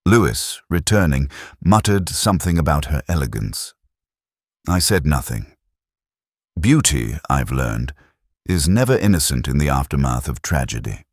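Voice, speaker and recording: A man playing a melancholy, reflective police detective, narrating a mystery in the first person with a measured, literary delivery. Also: very deep voice, sing-song, dry recording, clean studio sound, full character voice. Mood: brooding, watchful. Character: melancholy, reflective older male police detective recounting a case.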